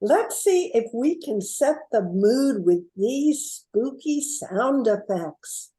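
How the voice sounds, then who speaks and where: An older woman playing a jittery small-town mayor in an amateur radio play, flustered and halting. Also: fairly high pitch, expressive, mellow, echoey room. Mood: pleased. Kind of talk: amateur old-time radio play acting.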